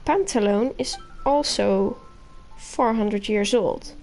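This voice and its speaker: A woman's voice: mid-range voice, slow pace, a little mellow. A woman game streamer giving casual, reactive commentary, thinking aloud as she puzzles over the story. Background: faint game music.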